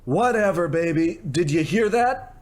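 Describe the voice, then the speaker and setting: A man's voice: high-pitched, animated, measured pace. A man playing a pushy, self-important showbiz producer, gushing and condescending, in an old-time radio comedy. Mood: excited, boastful. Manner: bossy, gushing, condescending pet names.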